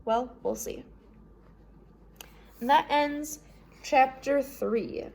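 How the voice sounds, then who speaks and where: A woman's voice: sits high, drawn-out words, gravelly, darkish tone, poor audio. A woman narrating a children's novel as a read-aloud storyteller.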